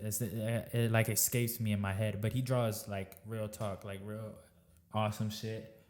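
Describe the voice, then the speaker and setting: A man's voice: on the low side, darkish tone. A man in his twenties, raised in Florida, co-hosting a casual podcast and rambling with lots of repeats and casual swearing. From born in New York, raised in Tampa, Florida. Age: 20s. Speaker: podcast co-host.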